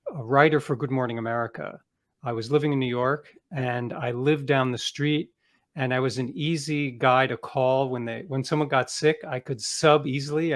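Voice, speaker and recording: Middle-aged man telling casual career anecdotes. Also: medium pitch. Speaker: author, interview guest.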